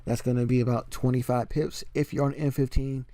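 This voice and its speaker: Male trading tutor, mellow low-key delivery, even and flat, lots of ums, slightly worn voice, dry close mic. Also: brisk, dry recording. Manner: relaxed, filler-heavy explaining with frequent "um" and "okay?". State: slightly losing his voice. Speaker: forex trading educator. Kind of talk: casual screen-share chart walkthrough.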